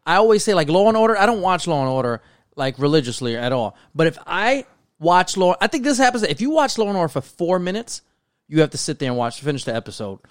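A man's voice: fairly high pitch, very animated, fast, dry recording, studio quality. An American man chatting casually as a podcast co-host about TV, opinionated, slangy and given to swearing. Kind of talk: casual chat about TV shows.

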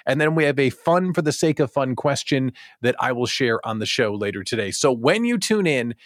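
A man's voice: medium pitch, no room sound, clean studio sound. A man hosting a daily live online show in an upbeat, chatty style, talking straight to listeners with theatrical announcer-like emphasis and rhetorical questions. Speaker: host of a daily live small business show.

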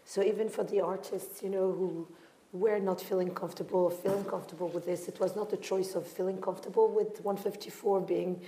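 A woman's voice: medium pitch, fairly even intonation, a little fast, mellow, low-quality recording. A woman from Morocco speaking reflectively as a founder taking part in a panel discussion. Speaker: art fair founder on a panel.

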